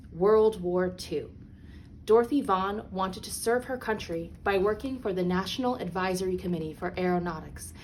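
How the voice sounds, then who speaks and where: A woman reads a children's picture book aloud as a storyteller, with deliberate, emphatic phrasing for young listeners. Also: medium pitch, darkish tone. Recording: clearly noisy background.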